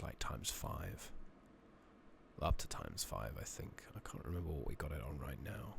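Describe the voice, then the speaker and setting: A man doing soft-spoken, partly whispered ASMR commentary over a video game, rambling casually with mild swearing, on a noisy makeshift microphone. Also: deep voice. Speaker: game streamer playing an online fantasy game. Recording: noisy makeshift microphone, clear background noise.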